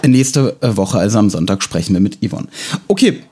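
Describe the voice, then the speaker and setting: A man with a light Russian accent, a native Russian speaker, co-hosting a casual podcast chat in a halting, self-ironic way with many fillers. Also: lowish voice, expressive, mellow, husky. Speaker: podcast co-host.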